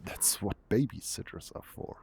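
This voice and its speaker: A performer voicing a dry, matter-of-fact babysitter friend who helps translate, with a husky, gravelly voice. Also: moderate pace, warm tone, a little hiss or hum, close to an everyday voice. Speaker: helper translating.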